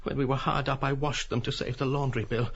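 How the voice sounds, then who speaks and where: A woman's voice: rumbling low, steady tone, brisk, vocal fry, husky, dull audio, heavily acted. A woman playing a submissive, cowed middle-aged man in an audiobook drama, timid and pleading. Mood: sheepish.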